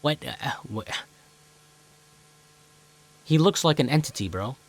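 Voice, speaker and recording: A man's voice: mid-range voice, animated, slow pace. A young American man giving casual, joking reaction commentary in exclamatory slang. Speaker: host of a reaction channel.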